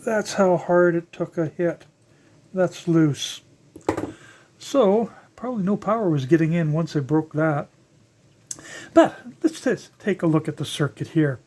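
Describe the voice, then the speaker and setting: An older electronics hobbyist giving a casual, unscripted teardown narration, halting and musing aloud while working with their hands. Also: mid-range voice, darkish tone, a little hiss or hum. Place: indoors at a workbench.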